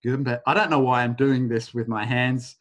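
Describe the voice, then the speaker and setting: A man leading a live online workshop over a video call, talking in a casual, rambling way and softly chanting a repeated phrase. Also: medium pitch, fairly even intonation, a little fast. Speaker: workshop facilitator.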